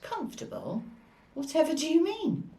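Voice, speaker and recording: An actress playing a formal, authoritative hospital matron with a brisk, questioning manner in a scripted drama. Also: female voice, high-pitched, wildly swooping pitch, mellow, full character voice. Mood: incredulous, affronted. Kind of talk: scripted stage-drama acting. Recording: distant mic in echoey room.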